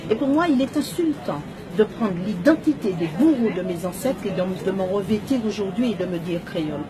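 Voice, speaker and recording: A woman's voice: medium pitch, expressive, fairly quick. A woman from Martinique, presenting her book in an impassioned, emphatic storytelling manner with rhetorical questions. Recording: crowd chatter behind the voice.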